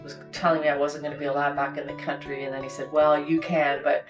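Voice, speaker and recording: A woman's voice: deep voice, level tone, fast talker, low-quality recording. A woman from Canada in a casual conversation, telling a personal story in a candid way with conversational asides. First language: English. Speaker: host. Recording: faint guitar music behind.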